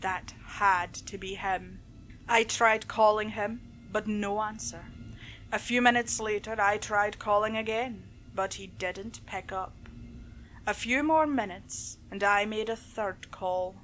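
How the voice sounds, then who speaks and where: A woman storyteller reading a horror tale in a dramatic, suspenseful, deliberate way, with music behind her. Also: mid-range voice, fairly bright tone, slight background noise. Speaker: storyteller, channel host. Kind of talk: dramatic scripted horror narration.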